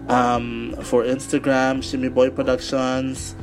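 Guy with a cold doing his podcast, raspy voice, lots of ums and restarts, some faint music behind him. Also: mid-range voice, completely flat delivery, takes it slowly. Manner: rambling with many fillers and restarts. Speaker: podcast host. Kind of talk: casual solo podcast talk.

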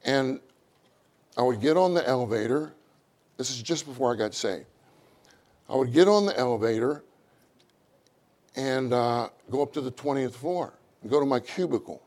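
A middle-aged man with a loud voice, preaching a sermon with animated, acted-out quotes. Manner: animated, acts out quotes with rising pitch.

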